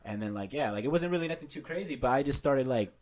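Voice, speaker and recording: Young man, quick rambling chatter with fillers, drops Spanish phrases, podcast co-host. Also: mid-range voice, expressive, darkish tone. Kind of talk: casual podcast chat.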